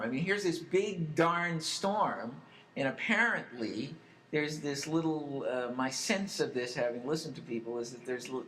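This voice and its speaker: Male talk radio interviewer, mid-range pitch, halting conversational questions, some noise on the recording. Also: a bit bright, rough recording. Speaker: radio talk show host.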